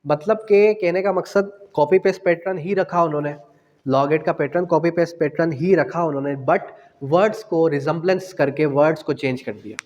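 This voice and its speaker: A man's voice: a little high, moderate pace, a little mellow, highs cut off. Pakistani man lecturing in a very echoing room. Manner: didactic, repeats phrases, asks and answers own questions. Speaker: law lecturer. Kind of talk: exam-prep lecture mixing in English legal terms. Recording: long echoing reverb of a hall.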